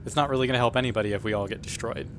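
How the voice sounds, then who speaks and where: A man's voice: mid-range voice, very fast, background noise. Wry, casual male player. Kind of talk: in-character roleplay chat.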